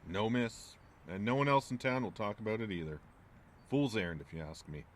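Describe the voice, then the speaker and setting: An actor playing a gruff, wary small-town landlord in an audio drama, speaking in a curt, folksy, ominous way. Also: male voice, lowish voice, lively intonation, a little fast, a little hiss or hum, close to an everyday voice. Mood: gruff, guarded.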